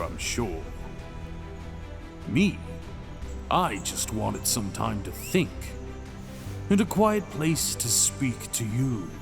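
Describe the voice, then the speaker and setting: Man playing a slow, seductive, menacing dragon king. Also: lowish voice, gravelly, heavily acted. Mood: smug, indignant. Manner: theatrical, slow purring endearments, dropping to whispers. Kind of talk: ASMR romantic fantasy roleplay.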